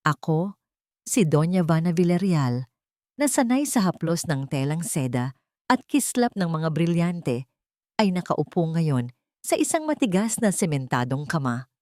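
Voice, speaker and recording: A woman's voice: on the low side, darkish tone, no room sound, studio quality. A woman narrating a dramatic love story, earnest and emotional.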